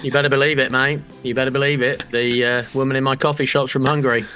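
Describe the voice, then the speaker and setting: A man's voice: medium pitch, little pitch movement, quick pace, bright, forceful tone, smooth, soft background music. An English man co-hosting a podcast, chatting casually with a joking, mock-serious manner and casual swearing. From England. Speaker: podcast co-host. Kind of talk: casual chat.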